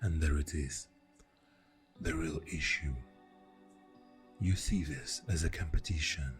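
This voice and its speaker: Deep, slow, soft-voiced exasperated boyfriend, acted by a man. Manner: slow, pausing, with heavy sighs. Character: exasperated, defensive boyfriend arguing with a jealous partner. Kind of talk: ASMR boyfriend audio roleplay.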